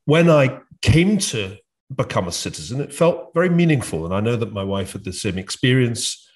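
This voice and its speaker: A British man hosting a discussion, speaking in a measured way with frequent hesitations and restarts. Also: mid-range voice, lively intonation. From United Kingdom. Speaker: podcast host. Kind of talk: conversational discussion.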